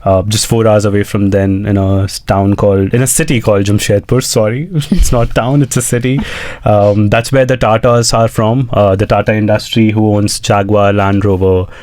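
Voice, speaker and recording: Low-voiced young man from India. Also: fairly even intonation, moderate pace, hardly any pauses, darkish tone. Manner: playful, joking, many fillers. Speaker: podcast guest. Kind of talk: casual podcast chat.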